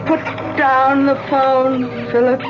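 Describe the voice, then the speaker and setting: Slow, high-pitched entranced wife. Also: female voice, little pitch movement, music in the background. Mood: cold. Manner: slow, halting, melodramatic. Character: dazed, entranced wife turned coldly murderous. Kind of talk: 1970s radio drama acting. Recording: dull lo-fi old radio recording.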